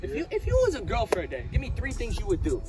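A young man hosts a casual street interview, talking fast with a lively, bouncing voice. He jokes and laughs loudly as he films on a handheld phone. Music plays clearly underneath. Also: extremely high pitch. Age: young adult. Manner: excitable, joking, laughs loudly. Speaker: host. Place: outdoors in a parking lot and inside a mall.

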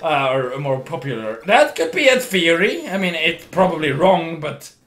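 A man's voice: a little high, sing-song, normal speed, a bit bright, soft background music. Drunk Swedish-accented man rambling. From Sweden. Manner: rambling, giggly, slurring and restarting words. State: voice going hoarse. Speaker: livestream gamer. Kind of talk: casual drunk gameplay commentary.

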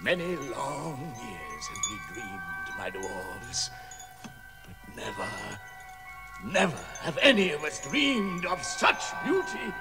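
A proud, commanding old dwarf leader in a radio drama. He declaims slowly and solemnly, sweeping widely in pitch over background music. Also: huge pitch swings. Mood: exultant. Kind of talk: British radio drama acting.

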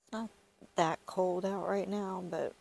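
A middle-aged woman talks to herself on a camping vlog, stuffy and relaxed, with soft murmured asides. Her voice is lowish and gravelly, and she takes her time. Faint outdoor noise sits under her lapel mic. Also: measured pace. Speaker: camping vlogger. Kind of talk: casual solo vlog chat. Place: outdoors in pine woods.

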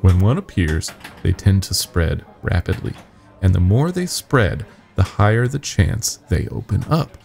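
A man voicing a nervous young company worker confessing secrets in a halting, grave horror-story telling. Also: sits low, sing-song, creaky, darkish tone, exaggerated voice. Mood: confiding.

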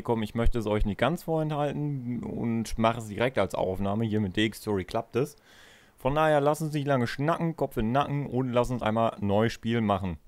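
A young adult man with an average-pitched voice. He comments on a video game casually, chatting away and thinking out loud like a let's player. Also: dry recording.

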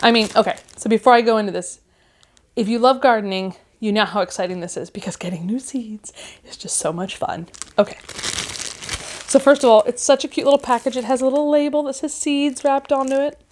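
A woman in her thirties chatting casually at home, gushing with excitement as she unboxes and reads out seed packets. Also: fairly high pitch, a little fast, slight background noise. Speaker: gardening vlogger. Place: living room at home, indoors.

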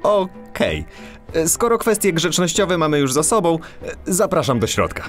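A man's voice: fairly high pitch, big pitch swings, measured pace, faint music behind. A man playing a polite, self-assured cat who is a police gadget expert, in a children's audio drama. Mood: welcoming.

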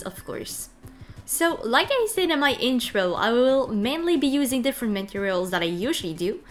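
A woman's voice: on the high side, fairly bright tone. A young woman from Quebec with a French Canadian accent, chatting casually with lots of ums as she narrates her bullet journal video. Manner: chatty, many ums and uhs, light laughs.

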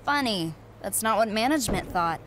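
A woman's voice: medium pitch, lively intonation, normal speed, a bit bright, a little hiss or hum, lightly acted, low-quality recording. A young woman voicing a confident, cocky wrestler in a video game cutscene. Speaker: player character wrestler.

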